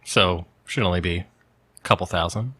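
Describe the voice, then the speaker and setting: A man with a fairly low but bright, forward voice chats as a tabletop game player. He is conversational and dryly joking as he describes things. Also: moderate pace. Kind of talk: casual tabletop game chat.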